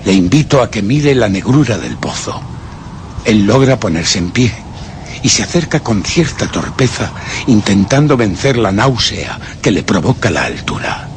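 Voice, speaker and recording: Low-voiced, vengeful murderer, animated pitch. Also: male voice, moderate pace, background noise. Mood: menacing. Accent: Castilian Spanish. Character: cold, vengeful male murderer recounting his crime. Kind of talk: dramatic first-person radio horror narration.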